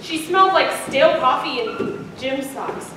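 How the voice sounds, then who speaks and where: A woman's voice: sits high, animated, measured pace, sharp tone. A teenage girl narrating a comic stage story as a student performer, with a theatrical, projected delivery. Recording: echoey room, distant mic, faint noise.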